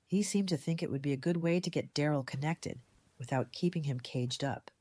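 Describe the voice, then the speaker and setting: An actress playing a shrewd, composed female community leader in an audio drama, measured and articulate in manner. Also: low-pitched, mellow, dry recording. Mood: businesslike. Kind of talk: fan audio drama acting.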